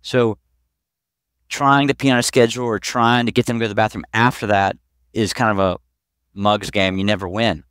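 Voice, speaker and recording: He is a man in his forties with a low, resonant voice. He talks like a doctor on a podcast, informally walking through his subject with everyday examples. The headset sound is close and dry. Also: fairly bright tone, clean tone, dry recording. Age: 40s. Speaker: pediatric urologist, podcast guest. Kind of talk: conversational expert explanation. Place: small clinic office, video call. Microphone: headset boom mic.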